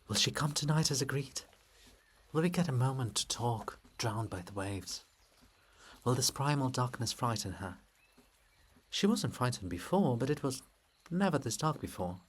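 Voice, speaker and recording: A man acts out an ancient princess cast as a monument in a stage drama. She is proud and solemn, brooding aloud in lofty, lyrical declamation at a middling male pitch. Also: big pitch swings, moderate pace, breathy edge. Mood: anxious, waiting.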